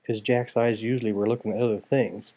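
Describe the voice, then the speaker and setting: A man's voice: fairly low pitch, fairly even intonation, a little fast. A man reading a story aloud to a child, expressively, with casual asides. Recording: dull lo-fi home recording.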